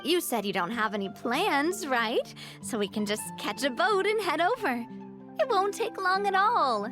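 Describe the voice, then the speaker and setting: A confident, easygoing young lady leader from a video game. Her voice is high and animated, cheerful and frank, with faint music underneath. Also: unhurried. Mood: cheerful, casual.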